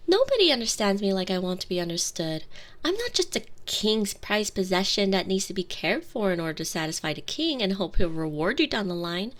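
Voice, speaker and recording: A woman's voice: medium pitch, sing-song, a little fast, close to an everyday voice. A woman playing a sheltered, haughty, lonely young princess in an intimate, theatrical ASMR roleplay on a close binaural mic, sometimes dropping into whispers. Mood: wistful, lonely. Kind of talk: ASMR roleplay, scripted monologue. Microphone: close binaural microphone.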